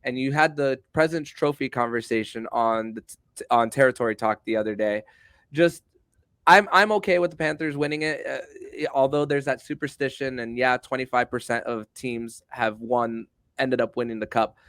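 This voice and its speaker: A male podcast host talks sports in a mid-range voice with a very dry, close sound. His questions come out conversationally, halting and wandering into asides before they land. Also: medium pitch, fairly bright tone, no room sound.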